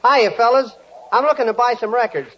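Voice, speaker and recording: An actor playing an eager, muddled band leader in 1940s radio comedy. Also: male voice, very high-pitched, animated, brisk, fairly bright tone, heavily acted. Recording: muffled 1940s radio recording.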